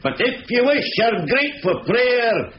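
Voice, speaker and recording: An echoey, dull old radio recording. a theatrical Scottish reciter who declaims verse very slowly in a high voice. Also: male voice, very animated, a little hiss or hum, exaggerated voice. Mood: grandly declaiming. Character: theatrical male entertainer declaiming Scots verse to a crowd.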